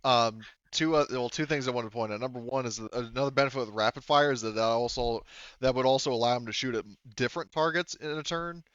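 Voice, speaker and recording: A man's voice: medium pitch, quick pace, fairly bright tone, smooth, no room sound, lo-fi sound. A man talking casually as game master of a tabletop roleplaying game, explaining rules as he goes.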